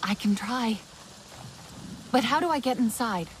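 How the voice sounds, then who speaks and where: A young woman warrior from a video game, with a fairly high voice. She speaks earnestly and steadily, as someone eager to help. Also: expressive, measured pace, a little hiss or hum.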